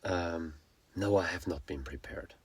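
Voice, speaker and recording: A low-pitched male podcast host in his forties with an Austrian accent and German as his first language. The sound is dry and close, and he chats informally and frankly, feeling for his words as he goes. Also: unhurried, breathy edge, no room sound. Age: 40s. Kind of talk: casual podcast conversation.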